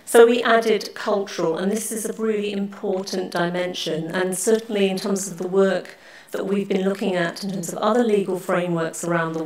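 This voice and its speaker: Woman presenting in an echoey hall. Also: on the low side. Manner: measured, with frequent "um" pauses. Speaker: conference speaker. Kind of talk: conference presentation. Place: large conference hall.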